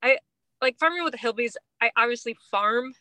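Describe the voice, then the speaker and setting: A woman in her thirties with a bright, forward voice, co-hosting a podcast. She talks informally and pokes a little fun at herself. The sound is dry and close, with very little room in it. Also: on the high side, expressive, fairly quick, dry recording. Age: 30s. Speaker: podcast co-host. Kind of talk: casual chat.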